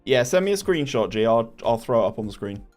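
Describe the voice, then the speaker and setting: A man's voice: medium pitch, very animated, fast talker, darkish tone. Young guy streaming, chatty, reads chat, restarts a lot, bit of music behind. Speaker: gaming streamer. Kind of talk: casual live stream chat.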